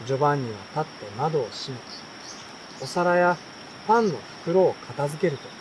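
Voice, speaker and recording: A man reading a children's story aloud in a relaxed, unhurried way, pausing between short phrases. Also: fairly high pitch. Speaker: reader. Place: outdoors at night by a campfire at a campsite. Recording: clear insect and outdoor night noise. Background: insects, stream, campfire.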